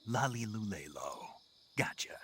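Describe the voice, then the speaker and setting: A gruff american commando from a game, super deep, dry and laconic, bugs chirping faintly in the back. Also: male voice, lively intonation, unhurried, sharp tone, a little hiss or hum. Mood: businesslike. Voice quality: gruff, low. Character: gruff, dry-witted male special forces soldier on a solo mission. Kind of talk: video game voice acting.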